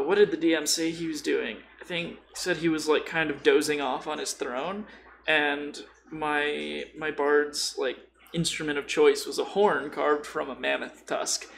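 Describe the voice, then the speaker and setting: A man's voice: a little high, little pitch movement, a little slow, gravelly, slight background noise. A man chatting casually about tabletop role-playing in an informal, rambling way full of tangents.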